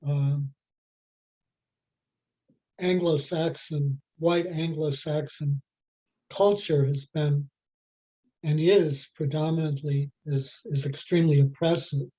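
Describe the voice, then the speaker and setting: Slow, halting speaker on a dull lo-fi line. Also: medium pitch, steady tone, mellow. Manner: halting, heavy with "you know" fillers and restarts. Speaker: adult class participant. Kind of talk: group discussion. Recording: dull lo-fi sound.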